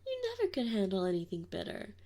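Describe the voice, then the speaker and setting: A woman playing a doting, gently teasing wolf mother in an ASMR roleplay, speaking softly and often in a whisper. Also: medium pitch, huge pitch swings. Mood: warm, teasing. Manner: soft, slow, often whispered. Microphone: binaural mic.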